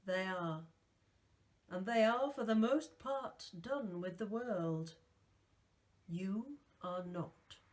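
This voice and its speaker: A woman reading aloud voicing a calm, ageless male guardian who is not alive, with a soft, velvety voice and a grave, measured way of talking. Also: fairly low pitch.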